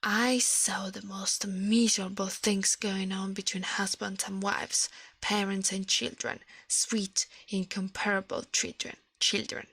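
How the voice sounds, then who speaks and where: Woman doing asmr story reading, creaky voice, real dry sound, trips on words a bit. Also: mid-range voice, little pitch movement, measured pace, fairly bright tone, no room sound. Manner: reads with stumbles and self-corrections. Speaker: ASMR streamer.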